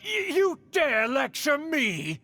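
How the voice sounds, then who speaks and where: Pompous, high-pitched noble uncle. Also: male voice, sing-song, a little slow, a bit bright, soft background music, heavily acted. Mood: outraged. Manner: pompous, lecturing, theatrical. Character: haughty scheming noble uncle, proud clan elder, indignant. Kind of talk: video game voice acting.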